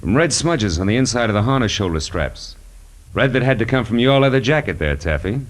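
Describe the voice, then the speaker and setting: A man's voice: mid-range voice, sing-song, brisk, lightly acted. A man playing a wry, tough private detective, acting and narrating in 1940s radio noir style. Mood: sardonic. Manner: sardonic, hard-boiled. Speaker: lead actor in radio detective drama. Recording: vintage 1940s radio broadcast recording.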